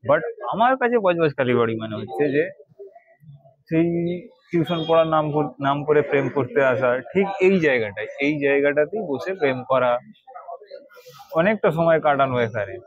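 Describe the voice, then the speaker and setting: A man's voice: a little high, expressive, normal speed, dry recording, lo-fi sound. A man chatting casually and informally, repeating himself and mixing in English words. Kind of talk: casual, unscripted chat to viewers.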